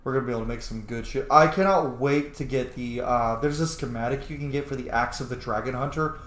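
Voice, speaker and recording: A man giving casual, informal gameplay commentary to his viewers, excitable and prone to swearing. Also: medium pitch, fast talker. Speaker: let's play host. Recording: roomy echo, faint background noise.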